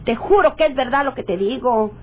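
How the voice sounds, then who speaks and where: An actress playing a desperate, sharp-tongued rural cousin with a Mexican accent, pleading in melodramatic radionovela style. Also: female voice, high voice, animated, a little fast, husky. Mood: pleading, tearful. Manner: insistent, imploring, melodramatic. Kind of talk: radionovela acting. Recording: dull lo-fi old radio drama recording.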